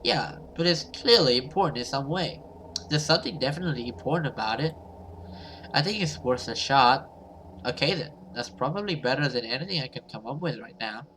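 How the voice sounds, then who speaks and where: A man's voice: mid-range voice, animated, a little fast, pushed and bright, a little hiss or hum, low-quality recording. A man reading a horror story aloud as a gamer, narrating and voicing dialogue, sometimes stumbling and rereading. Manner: stumbles over words and rereads.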